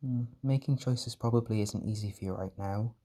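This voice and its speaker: A man playing a gentle, protective caretaker in a roleplay comfort audio, speaking in a soft, reassuring, tender way. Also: fairly low pitch, normal speed. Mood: tender, soothing. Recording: music and party chatter behind.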